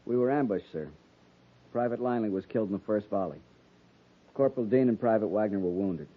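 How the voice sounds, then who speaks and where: A man's voice: lowish voice, brisk, close to an everyday voice. A man in a 1950s radio drama playing an earnest, anxious young cavalry lieutenant, formal and deferential. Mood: chastened, formal. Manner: formal, deferential military address. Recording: dull band-limited 1950s radio recording.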